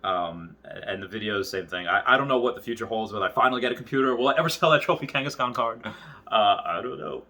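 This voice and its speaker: A man in his early thirties talking casually and off the cuff to viewers, rambling and often halting to circle back on his thoughts. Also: medium pitch, pushed and bright, a little hiss or hum. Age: early 30s. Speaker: YouTuber. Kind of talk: casual unscripted monologue to viewers.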